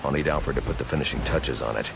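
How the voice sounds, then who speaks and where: An actor playing a terse, brooding young billionaire vigilante with a gruff voice in a video game. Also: male voice, rumbling low, quick pace, heavy background noise. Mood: grim, determined. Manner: terse, clipped. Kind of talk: dramatic video game acting. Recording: loud music behind the voice.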